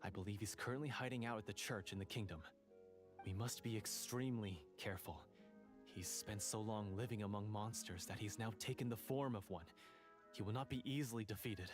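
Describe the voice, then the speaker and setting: A man's voice: fairly low pitch, fairly quick, close to an everyday voice. An actor playing an earnest, anxious male guide in an anime game, speaking solemnly. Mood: grave. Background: music.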